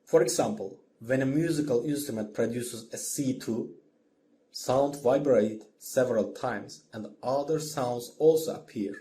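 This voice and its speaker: A man giving a scripted music lesson as a lecturer, in a measured, didactic manner. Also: mid-range voice, mellow, a little hiss or hum. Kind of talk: scripted instructional narration.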